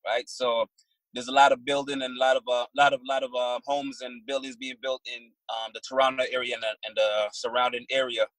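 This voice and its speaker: A man in his thirties from Barbados, a trucking business owner answering interview questions in a plain, halting way. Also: medium pitch, level tone, fairly bright tone, dry recording, rough recording. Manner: plain-spoken, halting with fillers and restarts.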